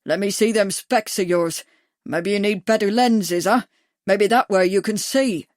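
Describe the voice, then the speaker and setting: A woman's voice: medium pitch, measured pace, darkish tone, no room sound, clean studio sound, close to an everyday voice. A woman putting on a snarling thick-accented male thug. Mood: menacing. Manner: snarling, growling threats. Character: burly menacing male tough with thick accent.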